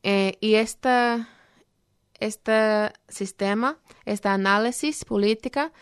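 A woman talking earnestly on a program, halting often as she searches for words. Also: mid-range voice, smooth, dry recording, studio quality. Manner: earnest, explanatory. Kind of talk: program talk on social issues.

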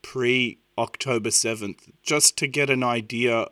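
A man's voice: medium pitch, steady tone, takes it slowly, sharp tone, no room sound, clean studio sound. A man interviewing a guest, asking questions in a conversational, prompting way.

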